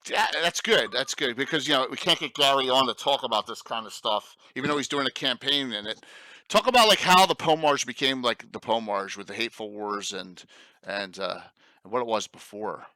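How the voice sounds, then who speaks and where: Eager Philly-accented host, bright voice. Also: male voice, mid-range voice, brisk, no room sound, low-quality recording. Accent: Philadelphia. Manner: rambling, eager, lots of fillers. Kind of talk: casual livestream chat.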